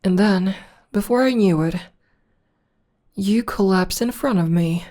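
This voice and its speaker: A woman's voice: medium pitch, dark tone, natural voice. A woman playing a theatrical female supervillain in an ASMR roleplay, arch and teasing, coaxing and tender with a beloved enemy. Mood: wry, explaining. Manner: arch, unhurried, coaxing, mock-grand.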